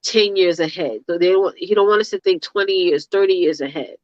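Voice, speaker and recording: A woman's voice: on the low side, a little fast, no echo, dull audio. A woman in her thirties co-hosting a talk show, speaking in an earnest, motivational way and repeating her points for emphasis. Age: 30s. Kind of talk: casual talk show chat.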